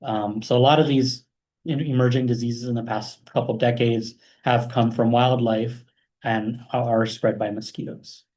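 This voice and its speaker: Young man, guest speaker, informal presentation, relaxed, filler-heavy. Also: mid-range voice, unhurried, poor audio. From Hawaii. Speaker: guest speaker, math postdoc. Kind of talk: informal talk with slides.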